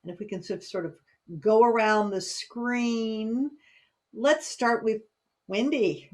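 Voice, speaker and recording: A woman's voice: on the high side, slow, a little mellow. A woman moderating a casual question session on a video call. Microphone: laptop video call.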